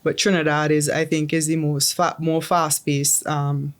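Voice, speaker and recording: A woman's voice: low voice, little pitch movement, measured pace. A young adult woman from the Caribbean, chatting as a guest in an interview, often restarting her sentences with um. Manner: chatty, restarts with um and repeated words. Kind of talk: casual interview.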